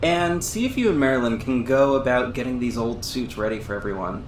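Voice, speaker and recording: An actor playing an earnest superhero who narrates his own story in a measured, serious audio drama style. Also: medium pitch, lively intonation, a little hiss or hum, lightly acted, poor audio. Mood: brisk, focused. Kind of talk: scripted first-person audio drama narration.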